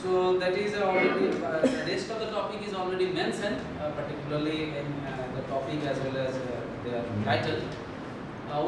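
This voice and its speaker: A man, coordinating a course and making halting announcements with many pauses before a lecture. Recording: echoey hall from far off, loud rumble.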